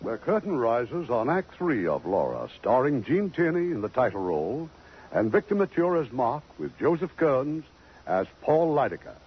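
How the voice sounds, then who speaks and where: A man announcing and narrating a 1950s radio drama in a formal, deliberate, dramatic style. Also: medium pitch, big pitch swings, background noise. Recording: muffled 1950s radio broadcast.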